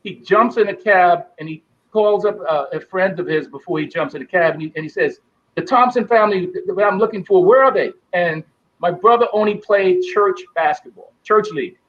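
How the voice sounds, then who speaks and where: A middle-aged man, a guest telling stories in an animated way. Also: sits high, moderate pace, muffled recording. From Bahamas. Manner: animated storyteller acting out quoted voices. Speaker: guest, former basketball player. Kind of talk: storytelling interview.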